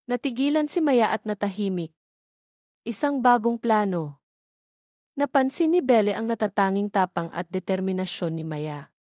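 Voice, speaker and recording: A woman's voice: mid-range voice, dark tone, no echo. Filipina woman narrating a dramatic story, dry sound.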